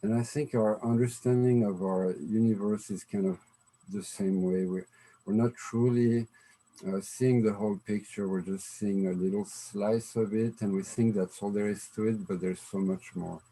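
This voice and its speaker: A man's voice: on the low side, fairly even intonation, normal speed, warm tone, rough recording. A young man in casual conversation, thinking aloud with hesitations and fillers. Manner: thoughtful, hesitant, musing. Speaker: co-host.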